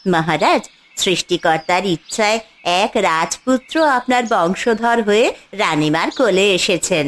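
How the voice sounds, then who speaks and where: A woman's voice: mid-range voice, expressive, a little slow, a bit bright. A woman playing a deferential palace maid in a fairy-tale cartoon, speaking formally and respectfully. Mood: joyful, respectful. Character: deferential palace maid bearing good news.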